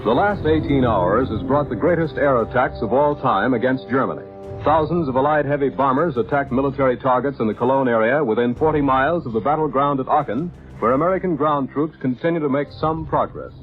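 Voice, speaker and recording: A man's voice: mid-range voice, a little fast. Male wartime newsreader, 1940s radio style, lo-fi old recording with faint music. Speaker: war news announcer. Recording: dull vintage radio broadcast recording.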